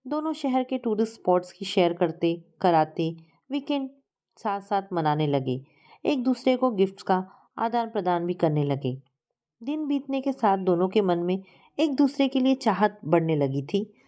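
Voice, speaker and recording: A woman's voice: medium pitch, sing-song, normal speed, mellow. A woman narrating a scripted story as a storyteller.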